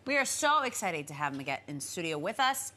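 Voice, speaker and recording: Brief: gushing woman co-host, breathy low voice, wildly swinging pitch. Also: brisk. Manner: excitable, gushing. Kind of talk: morning TV interview. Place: TV studio.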